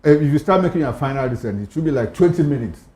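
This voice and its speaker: Nigerian male panellist, mellow tone with lively intonation, emphatic current-affairs talk in a roomy studio. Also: on the high side, fast talker. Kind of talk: conversational commentary on current affairs.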